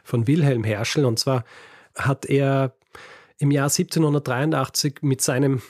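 A man's voice: medium pitch, fairly even intonation, unhurried, no echo. A man with a Viennese German accent chats on a podcast and retells history, stumbling through fillers and restarts with small laughs. His voice sits in a middle register. The sound is dry and close. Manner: hesitant, many fillers and restarts, laughs often. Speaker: podcast co-host. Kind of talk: casual podcast chat, storytelling.

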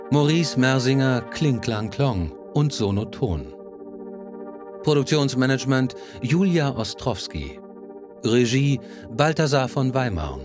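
A man reading a scripted podcast announcement and credits as announcer. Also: medium pitch. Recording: clear music bed behind voice.

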